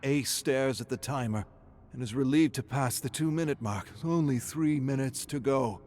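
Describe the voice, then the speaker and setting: A man's voice: medium pitch, normal speed, a little mellow. A man reading scripted narration in a theatrical, tense storytelling manner.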